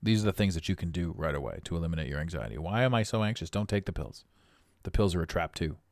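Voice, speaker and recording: Podcast host, raspy middle-aged man, deep and blunt, dead-dry sound. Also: expressive, fast, a little mellow, no echo. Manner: blunt, preachy, emphatic. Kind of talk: motivational monologue.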